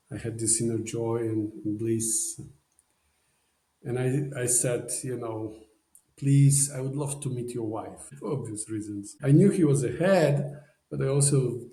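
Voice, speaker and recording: A man's voice: medium pitch, mellow, echoey room. A man from Poland with a Polish accent, a podcast guest telling a story in casual, rambling talk full of "you know" fillers. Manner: rambling, many "you know" fillers, non-native phrasing.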